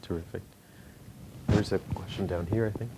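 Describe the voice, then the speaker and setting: A man's voice: low voice, steady tone, normal speed, warm tone, slight background noise, poor audio. A man moderating a live conversation, searching for words and repeating them as he goes.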